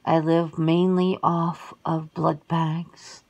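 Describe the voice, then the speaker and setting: A woman voicing a centuries-old vampire sister in an ASMR roleplay, cool and worldly, measured and often slipping into whispers. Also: sits low, little pitch movement, darkish tone, dull audio, exaggerated voice. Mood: cool, weary. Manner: measured, breathy, dropping into whispers.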